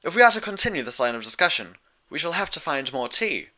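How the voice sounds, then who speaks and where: A man voicing a proud, sharp-tongued younger-sister pony princess of the moon, speaking in formal, old-fashioned phrases for a storybook reading. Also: fairly high pitch, very animated, quick pace, voice pushed up. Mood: dry. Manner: formal, archaic, challenging phrasing.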